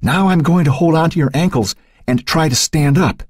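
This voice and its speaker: A man's voice: fairly high pitch, moderate pace, a little mellow, breathy edge, dry recording, clean studio sound, pitched above the performer's own voice. A man voicing a determined young boy who coaxes and insists, guiding a scared friend in a storybook reading. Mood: urging. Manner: urging, insistent.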